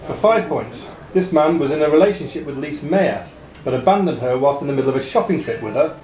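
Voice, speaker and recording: A man reading quiz questions to players as quizmaster, in a measured, formal way with dry asides. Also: a little high, animated. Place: pub room during a live quiz. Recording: echoey pub room, chatter behind.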